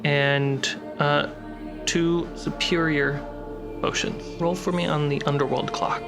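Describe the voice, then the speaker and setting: A woman narrating a tabletop game as game master, describing scenes in short, measured phrases. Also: deep voice, steady tone. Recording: prominent music bed. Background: background music score.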